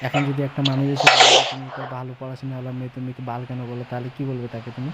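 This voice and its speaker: Insistent young man, flat pitch, noisy line. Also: racing. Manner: insistent, repetitive questioning. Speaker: caller. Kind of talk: casual phone argument. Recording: noisy hiss with clicks.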